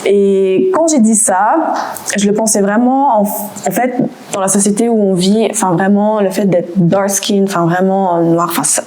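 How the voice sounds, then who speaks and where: A woman's voice: medium pitch, normal speed, darkish tone, rough recording. A woman in her twenties from Canada, speaking candidly as an interview guest, with frequent hesitations and restarts. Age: 20s. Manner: hesitant, many fillers and restarts.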